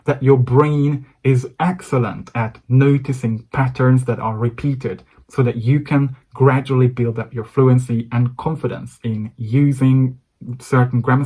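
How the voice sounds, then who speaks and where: A man's voice: medium pitch, warm tone. Hungarian guy teaching english to camera, upbeat and encouraging, talks straight to the viewer. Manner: encouraging, direct address to viewer. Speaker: English coach. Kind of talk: scripted to-camera lesson.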